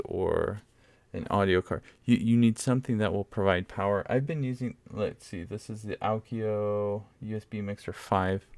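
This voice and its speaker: Low-pitched man, casual gear review. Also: darkish tone, no room sound. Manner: conversational, explanatory. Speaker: microphone reviewer. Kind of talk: casual product demo talk. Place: open room at home, in a dome house. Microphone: condenser mic on a mixer, fairly close.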